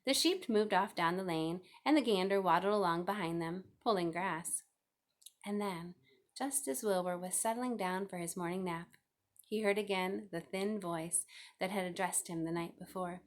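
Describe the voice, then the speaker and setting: A woman's voice: fairly low pitch, normal speed. A woman reading a children's novel aloud as scripted narration. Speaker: storybook reader.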